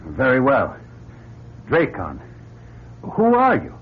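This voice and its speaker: Husky, wildly swooping astronaut. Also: male voice, medium pitch, huge pitch swings, slow pace, full character voice. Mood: wary, firm. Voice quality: husky, rough. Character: earnest, rattled male astronaut pilot. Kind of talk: vintage radio drama acting. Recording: muffled old radio recording.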